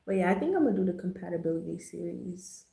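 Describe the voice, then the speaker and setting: Casting: American female livestream host, relaxed mellow tone with lots of vocal fry, chatty and slangy, echoing room sound. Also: lowish voice, fast talker. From Jamaica, raised in the US. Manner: laid-back, slangy, chatty. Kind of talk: casual livestream chat. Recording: roomy, echoing livestream audio.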